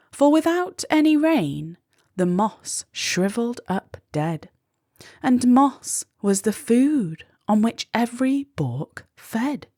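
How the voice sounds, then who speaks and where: A woman reads a rhyming children's tale aloud at a very unhurried pace. Her pitch swings widely as she tells it with measured drama, and the recording is close and dry. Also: mellow, no echo. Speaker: children's storybook reader. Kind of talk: expressive read-aloud of a rhyming children's story.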